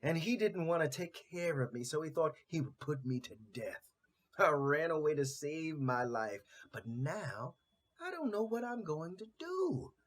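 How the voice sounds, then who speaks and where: Guy doing an old tired dog in a kids story, sad n plaintive, slow, wobbly up and down pitch. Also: sing-song. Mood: weary, forlorn. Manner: weary, plaintive. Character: worn-out old male hound, sad and forlorn.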